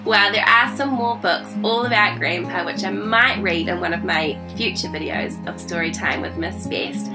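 A woman, reading a children's story aloud in an animated, smiling way. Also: mid-range voice, moderate pace, few pauses, over loud music, low-quality recording. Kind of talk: expressive storybook read-aloud. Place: small indoor reading room.

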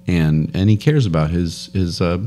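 Podcast co-host, thirties, deep male voice, rambling storyteller, close studio mic. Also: moderate pace. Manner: rambling storyteller, laughs often, animated. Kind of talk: casual chat. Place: home studio room, indoors. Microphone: boom studio mic with headphones.

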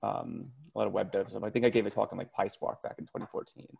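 Gravelly low-voiced man on a call. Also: quick pace, darkish tone. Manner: rambling, many fillers. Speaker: meetup participant. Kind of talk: casual video call discussion. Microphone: video call.

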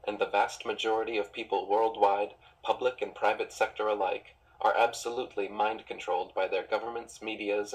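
A low-pitched man delivers a scripted narration with steady indignation, posing one rhetorical question after another. His voice is husky and stays level, with little rise and fall. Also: rough recording. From United States.

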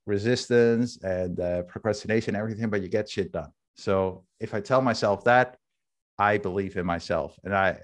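Dutch-native man, 30s, somewhat low voice, candid video-call demo host. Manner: candid, thinking aloud with restarts. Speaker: workshop host. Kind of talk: casual screen-share demo. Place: home room, indoors. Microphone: headset with video call camera.

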